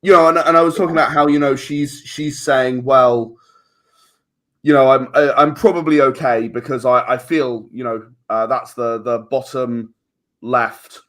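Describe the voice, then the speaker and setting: A man's voice: medium pitch, steady tone, normal speed, fairly bright tone. A man chatting casually as a podcast guest, rambling and repeating words as he searches for his phrasing. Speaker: interview guest, filmmaker and audio drama writer.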